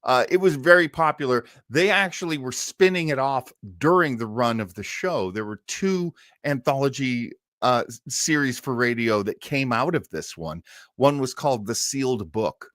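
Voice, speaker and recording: A man's voice: medium pitch, lively intonation, normal speed, a bit bright, dry recording, studio quality. A man hosting an old-time radio livestream, chatting casually and enthusiastically in a rambling way.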